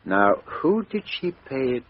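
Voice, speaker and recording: An actor playing a brisk, genial, shrewd British police inspector, hearty and questioning, in a radio mystery drama. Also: male voice, medium pitch, sing-song, slight background noise. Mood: brisk, pondering. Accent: British English. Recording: dull, band-limited radio drama recording.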